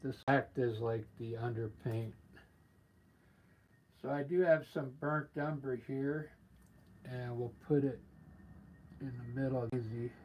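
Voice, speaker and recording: Guy painting on a live stream, kinda scratchy voice, mumbles along softly as he works, clip mic in his studio. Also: medium pitch, steady tone, slow pace, long pauses. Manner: soft-spoken, thinking aloud while painting. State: scratchy voice from singing and allergies. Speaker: artist livestreaming painting. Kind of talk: casual live painting commentary. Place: home art studio. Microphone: clip-on mic after plugging it in.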